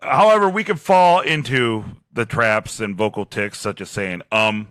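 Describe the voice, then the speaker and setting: Deep-voiced man with a slight lisp. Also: measured pace, a bit bright, no echo. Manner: sarcastic, self-mocking, laughs often. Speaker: podcast co-host. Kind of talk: casual chat.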